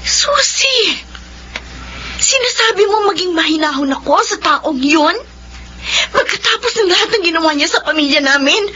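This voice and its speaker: A woman's voice: very high-pitched, expressive, normal speed, pushed and bright, exaggerated voice. An actress playing a bitter, wounded young woman in a radio drama, with an impassioned, melodramatic delivery. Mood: tearful fury. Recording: dull lo-fi recording with faint hum.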